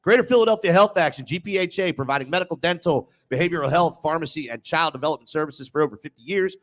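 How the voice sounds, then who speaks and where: A man's voice: fairly high pitch, fast. A man hosting a casual sports radio show. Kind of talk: casual sports talk with live ad reads.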